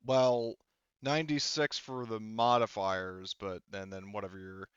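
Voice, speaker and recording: A man's voice: fairly low pitch, flat delivery, unhurried, clean tone, no room sound, dull audio. A man casually narrating and refereeing a tabletop roleplaying game as game master, with some swearing.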